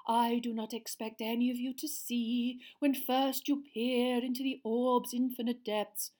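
A woman voicing a dreamy, mystical older female divination teacher, murmuring slowly and theatrically. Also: a little high, level tone, darkish tone, pitched above the performer's own voice, lightly acted. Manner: slow, breathy, theatrical murmuring.

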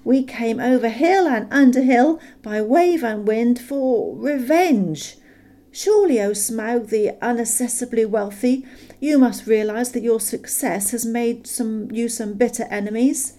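A woman voicing a small, nervous male hobbit who flatters in a high, squeaky voice. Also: a little slow, natural voice. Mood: earnest.

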